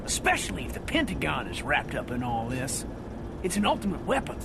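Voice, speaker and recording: An actor playing an excitable, paranoid, folksy slacker sidekick in a video game, with an animated delivery. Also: male voice, mid-range voice, fairly quick. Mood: urgent, scheming. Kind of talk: video game cutscene acting. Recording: clear background noise.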